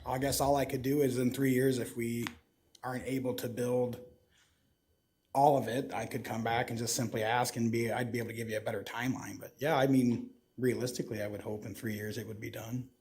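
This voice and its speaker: A man's voice: mid-range voice, level tone, fairly quick, darkish tone. A man applying to a city board, answering questions in a hesitant, hedging way. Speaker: applicant.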